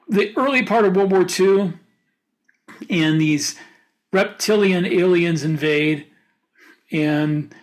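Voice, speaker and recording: A man with a notably high voice talks casually as a podcast co-host. He gives firm opinions on what he has read. His phrases often halt and restart as he works out the thought. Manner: opinionated, halting mid-thought. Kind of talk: casual book discussion.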